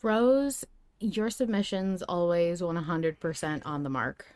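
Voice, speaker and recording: A woman's voice: on the low side, slow pace, darkish tone, clear, resonant tone, no echo. A woman co-hosting a podcast in casual discussion. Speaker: podcast co-host.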